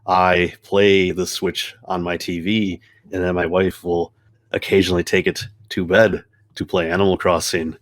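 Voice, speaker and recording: Deep low guy co-hosting a gaming podcast, casual, jokes around. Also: flat delivery, normal speed. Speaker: podcast co-host.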